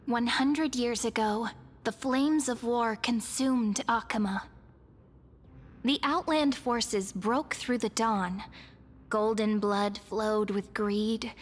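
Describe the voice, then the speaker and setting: An actress playing a solemn chronicler of ancient war legends, narrating gravely and formally in a fantasy video game. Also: female voice, a little high, a little hiss or hum. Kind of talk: scripted epic narration. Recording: long hall reverb.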